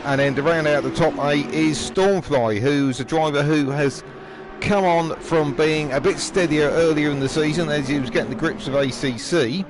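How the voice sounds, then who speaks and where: Slightly hoarse man calling a live race, relaxed and chatty, some background noise. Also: fairly high pitch, moderate pace. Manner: chatty, frequent ums and throat clearing. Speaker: race commentator. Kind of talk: live sim racing commentary. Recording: noisy background.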